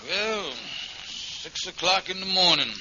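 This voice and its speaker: An actor playing a henpecked middle-aged schemer in an old radio sitcom, stammering and mangling big words. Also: male voice, sits high, measured pace, over music. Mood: grumbling. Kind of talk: 1940s-50s radio sitcom acting. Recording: muffled, very noisy vintage radio recording.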